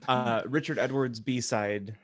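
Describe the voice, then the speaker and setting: A man's voice: medium pitch, fairly even intonation, takes it slowly, dry recording, clean studio sound. A man co-hosting a podcast in casual chat, rambling along with enthusiasm. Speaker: podcast co-host.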